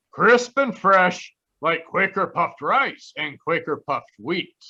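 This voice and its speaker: A man plays a big hearty eater in a vintage radio commercial. His voice is high, very slow and forceful, with dull lo-fi sound. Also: expressive, breathy edge, full character voice. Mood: jolly. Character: big, hearty, slow-talking cereal lover in advert. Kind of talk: old-time radio advert comedy. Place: home, live online video performance. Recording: dull lo-fi video-call sound.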